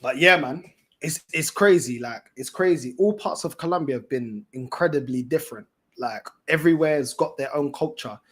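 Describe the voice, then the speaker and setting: A man's voice: on the high side, normal speed, a bit bright, breathy edge. A man with a London accent chatting casually as a livestream host, in a slangy, repetitive, emphatic way. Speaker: fan channel host.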